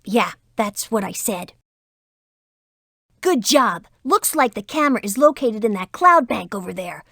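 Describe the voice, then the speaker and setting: A woman's voice: medium pitch, lively intonation, a little slow, sharp tone, no room sound, clean studio sound, exaggerated voice. A woman playing a confident, take-charge young spy leader explaining missions in an educational cartoon game. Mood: encouraging.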